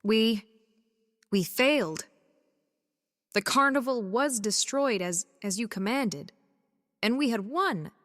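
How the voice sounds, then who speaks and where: Woman playing a halting, anguished broken henchwoman, slow-paced. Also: on the high side, expressive, fairly bright tone. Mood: fearful, halting. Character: broken, frightened, desperate female henchwoman and addict. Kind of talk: audio drama acting. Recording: music underneath.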